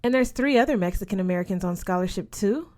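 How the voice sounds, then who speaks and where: A woman's voice: medium pitch, quick pace, darkish tone, close to an everyday voice. Woman putting on a snobbish, prying teenage girl. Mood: prying, haughty. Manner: prying, pointed questions. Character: nosy, snobbish, tactless rich teenage girl.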